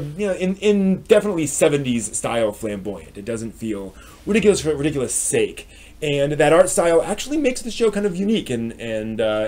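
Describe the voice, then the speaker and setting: A man's voice: mid-range voice, darkish tone. Casual man reviewing a show on stream. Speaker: live stream host reviewing anime. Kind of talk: casual unscripted review commentary.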